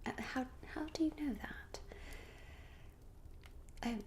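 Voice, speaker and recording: A woman voicing a genteel, gossipy Regency lady in a soft ASMR roleplay whisper, musing politely and hesitantly. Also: high voice, slow, slight background noise, pitched above the performer's own voice. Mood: polite, pondering. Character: genteel, gossipy young Regency lady planning a matchmaking guest list.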